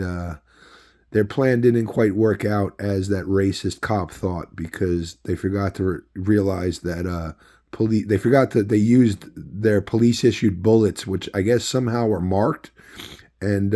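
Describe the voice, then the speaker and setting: A man who is losing his voice, telling a long personal story in a rambling, halting way with many fillers. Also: lowish voice, mellow. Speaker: video host. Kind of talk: casual personal storytelling to camera.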